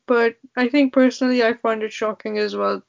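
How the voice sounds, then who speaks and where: Young woman, podcast host, teasing chatter, flat even delivery, dull lo-fi sound. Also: fairly high pitch, fairly quick, creaky. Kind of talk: casual sibling podcast chat.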